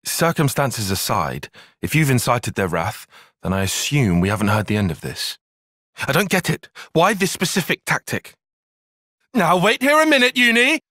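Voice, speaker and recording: An actor playing an earnest, cerebral young soldier and tactician in a video game, with a British accent, articulate formal phrasing and a slightly husky voice. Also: male voice, mid-range voice, very animated, normal speed, sharp tone, dry recording, clean studio sound. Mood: indignant, questioning, then alarmed, accusing. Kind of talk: scripted video game cutscene acting.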